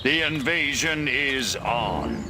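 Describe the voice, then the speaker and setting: A man's voice: mid-range voice, sing-song, slow pace, vocal fry, full character voice. A man voicing a no-nonsense government agent in a B-movie parody game, terse and portentous. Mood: grim, urgent.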